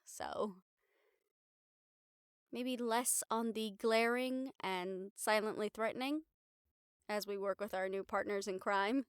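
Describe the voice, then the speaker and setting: A woman's voice: medium pitch, takes it slowly, pauses often, smooth, no echo, studio quality, lightly acted. A woman playing a wry, daring young reporter in an intimate audio roleplay, talking to her partner in a sardonic, sighing, casually sweary way. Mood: wry, exasperated. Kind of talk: intimate audio roleplay acting, conversational monologue to the listener.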